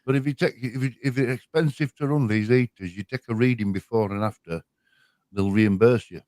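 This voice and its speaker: A man's voice: medium pitch, darkish tone, no room sound. Older west yorkshire guy, mid voice, easygoing chat, dry close sound. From West Yorkshire, near Leeds. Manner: easygoing, down-to-earth, quick to agree. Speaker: livestream co-host. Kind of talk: casual livestream chat.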